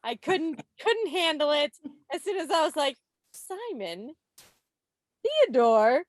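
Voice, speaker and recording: A woman's voice: high-pitched, big pitch swings, slow, no echo. A woman hosting a quiz over a video call in a playful, teasing, theatrical way. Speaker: quiz host. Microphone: video call microphone.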